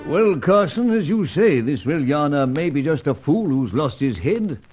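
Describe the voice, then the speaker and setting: A grave, formal British spy chief in a vintage radio adventure. His voice is fairly high and moves expressively, full of official understatement. The recording is dull and lo-fi. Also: big pitch swings, normal speed, darkish tone, husky, soft background music. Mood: grave, concerned. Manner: formal, old-fashioned understatement. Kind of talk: old radio adventure serial acting.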